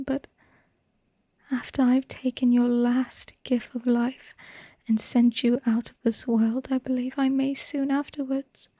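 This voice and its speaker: A woman playing a tender, melancholy vampire wife in an intimate ASMR roleplay, murmuring softly and breathily, half in a whisper. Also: fairly high pitch, husky, no room sound. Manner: slow, hushed, lovingly murmured.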